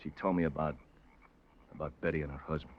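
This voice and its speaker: An actor playing a bitter, cornered ex-convict and wife killer, halting and rough in speech, in a 1950s radio crime drama. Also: male voice, a bass voice, level tone, no room sound. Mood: bitter resignation. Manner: halting, rough colloquial grammar. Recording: muffled vintage radio recording.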